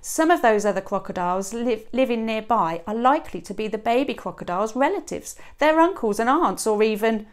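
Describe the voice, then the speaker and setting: A woman in her thirties reading a children's picture book aloud like a teacher, with expressive emphasis and dramatic pauses. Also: fairly high pitch. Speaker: teacher reading to a young class. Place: small classroom, indoors. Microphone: clip-on lapel mic.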